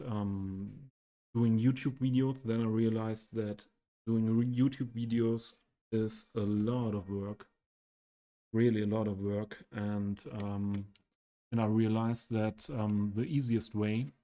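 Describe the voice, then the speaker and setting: German-accented male streamer, slow halting delivery, flat mellow low voice. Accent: Western German. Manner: halting, many ums, searching for words. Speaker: game streamer. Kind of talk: casual live stream commentary.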